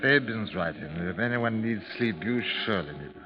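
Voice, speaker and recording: An actor playing a drunken, amorous gentleman gambler in a 1940s radio drama, lingering theatrically over his words. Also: on the low side, expressive, brisk, close to an everyday voice. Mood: woozy. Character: tipsy, amorous, toast-making gentleman gambler. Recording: muffled 1940s radio recording.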